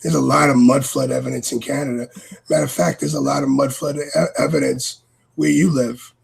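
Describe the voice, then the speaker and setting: New York man, gravelly husky voice, slightly high, rambling casual talk. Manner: rambling, animated, drawn-out "man" asides. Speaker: podcast guest. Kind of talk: casual chat.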